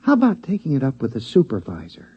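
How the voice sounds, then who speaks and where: Husky, timid henpecked husband, animated pitch. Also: male voice, quick pace, exaggerated voice. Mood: coaxing. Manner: conciliatory, coaxing. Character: timid, placating henpecked husband and father, George. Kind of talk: 1950s radio drama acting. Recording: muffled vintage radio recording.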